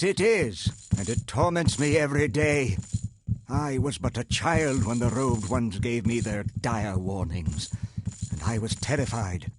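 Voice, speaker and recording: A man's voice: medium pitch, a little hiss or hum, natural voice. A man playing a wealthy older industrialist in a video game, proud yet haunted, speaking formally and articulately with a wistful storyteller's manner. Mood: regretful, haunted. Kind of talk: scripted video game dialogue.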